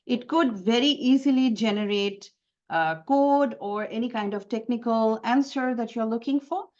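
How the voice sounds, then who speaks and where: A woman's voice: on the high side, moderate pace, a little mellow, muffled recording. A woman from Bangladesh with a Bangladeshi accent, a native Bengali speaker, presenting slides in a webinar in an earnest, explanatory way and stressing words by repeating them.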